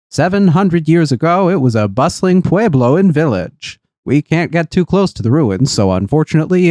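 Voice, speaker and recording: A man playing a tour guide in an audio story, explaining the sights in a measured way. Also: on the high side, a little mellow, dry recording, studio quality. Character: informative male park tour guide narrating a walk.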